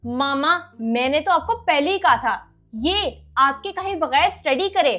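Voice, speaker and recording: A woman's voice: high voice, lively intonation, unhurried, bright, forceful tone, full character voice. A woman playing a sarcastic, tattling older sister who complains and bickers in a comic family skit. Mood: accusing.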